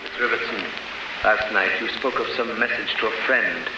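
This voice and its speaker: A solemn, gentle prison chaplain, played by a male actor, with a lowish voice and formal, measured speech. It is old radio drama, heard very echoey through loud noise. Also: natural voice. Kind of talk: 1930s radio drama acting. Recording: very echoey, distant, loudly noisy old radio.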